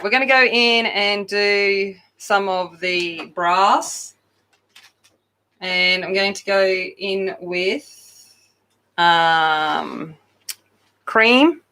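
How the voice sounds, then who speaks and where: A woman hosting a coloring channel, chatting loudly and casually with viewers while she colors, often poking fun at herself. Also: mid-range voice. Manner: loud, chatty, self-deprecating.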